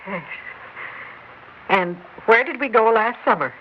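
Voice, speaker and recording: An actress playing a frightened, suspicious wife who questions guardedly, in a 1960s radio drama. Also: female voice, on the high side, lively intonation, a little slow, a little hiss or hum. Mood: wary. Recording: muffled old radio broadcast.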